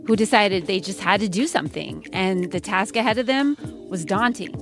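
A woman hosts a podcast and narrates a reported story in a clear delivery. Her pitch sits mid-range. Faint music plays underneath. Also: moderate pace. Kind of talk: scripted narration and interviewing.

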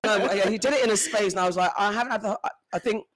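Fast-talking high-voiced man. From Britain. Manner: animated, talks fast with restarts. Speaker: podcast co-host. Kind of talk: casual group discussion.

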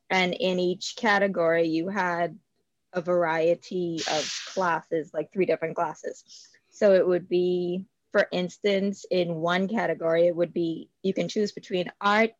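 A woman's voice: on the low side, no echo, muffled recording. A woman, talking as an interview guest, hesitant with many fillers and frequent laughs. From Bahamas. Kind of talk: casual interview.

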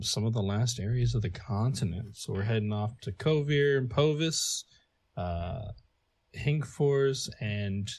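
Low-voiced man rambling through lore notes. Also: a little mellow, no echo. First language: not English. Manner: chatty, filler-heavy, laughs at own jokes. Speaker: podcast co-host. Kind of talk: casual podcast lore talk.

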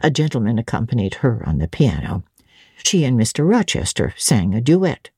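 A woman voicing an elderly, genteel housekeeper in a classic audiobook, chatty and admiring as she savours each detail in a measured way. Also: rumbling low, big pitch swings, dark tone, slightly rough, no echo, clean studio sound, pitched below the performer's own voice, heavily acted. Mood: fondly admiring. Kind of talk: dramatic audiobook reading of classic literature.

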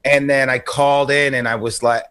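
Man, mid-range voice, casual comic storyteller, halting delivery, radio co-host. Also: mid-range voice, level tone, fairly bright tone, clean tone.

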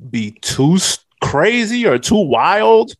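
A man's voice: sits high, sing-song, slow pace. A middle-aged American man from Nashville, a podcast guest telling stories in a candid, slangy, casual way. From Nashville, USA. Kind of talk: casual storytelling chat. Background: music.

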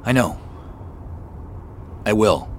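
A man's voice: fairly low pitch, expressive, very slow, full character voice. An actor playing a subdued, guilt-ridden man, hesitant and sheepish, in a naturalistic audio drama. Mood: subdued, apologetic.

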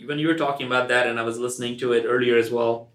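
A man with an average-pitched voice speaks in a clearly echoing room. He sounds earnest and encouraging, putting emphasis on his points as he co-hosts a casual podcast conversation. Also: fairly even intonation, racing, fairly bright tone. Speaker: podcast co-host.

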